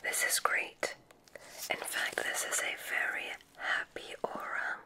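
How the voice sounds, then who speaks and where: A woman whispering an ASMR roleplay as a kindly witch examiner, giving gentle, reassuring instructions in a soft, unhurried way. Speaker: ASMR performer in a witch medical exam roleplay.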